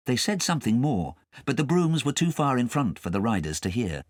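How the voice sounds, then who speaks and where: Very animated man reading a storybook. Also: medium pitch, brisk, no room sound, studio quality. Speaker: audiobook narrator. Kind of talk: scripted storybook narration.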